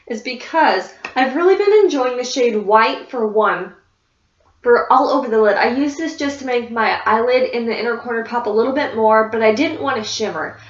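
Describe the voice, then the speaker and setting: A woman talking casually about her favorite beauty products as a vlogger, a little congested and sniffly, rambling with fillers and restarts. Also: fairly high pitch. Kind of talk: casual product review chat. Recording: echoey room, dull lo-fi sound.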